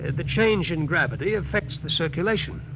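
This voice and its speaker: An actor playing a dry, skeptical ship's doctor in a 1950s radio drama. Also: male voice, a little high, animated, brisk, natural voice. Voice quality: dry, wry. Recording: dull, hissy vintage radio broadcast.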